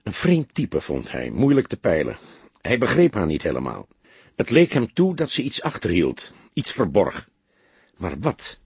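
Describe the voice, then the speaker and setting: Man, audiobook narrator, very husky, slightly low voice, expressive storytelling, dry close sound. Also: measured pace, dry recording. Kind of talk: dramatic scripted narration of a detective novel.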